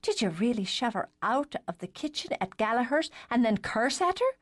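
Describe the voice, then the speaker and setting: A woman voicing a soft-hearted, eager young teenage girl with a prim, lilting manner in a storybook reading. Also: on the high side, very animated, normal speed, voice pushed up. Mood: indignant.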